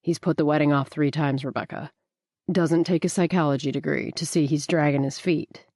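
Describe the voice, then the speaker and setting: A woman reading the part of a blunt, sarcastic young man who needles his friend, in a deliberately lowered voice. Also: fairly even intonation, darkish tone, no room sound, studio quality. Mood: needling. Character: brash, teasing young man, male friend.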